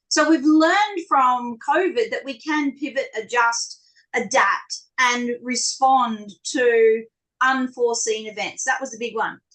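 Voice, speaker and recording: A woman with a strong Australian accent speaking on a live online panel, telling animated, self-deprecating stories. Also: high-pitched, rough recording.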